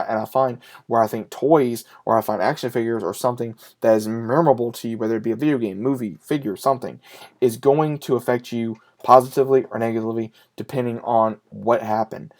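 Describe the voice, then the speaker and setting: A man in his late twenties chatting casually and unscripted to his viewers, speaking earnestly and emphatically, with repeated phrasing and frequent pauses. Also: mid-range voice, a little mellow. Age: late 20s. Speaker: YouTube vlogger and collector.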